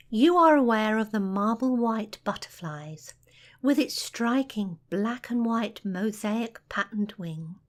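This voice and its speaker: A woman narrates a guided meditation at a very unhurried pace. Her voice sits a little high, and her delivery is soft and soothing, with measured pauses between phrases.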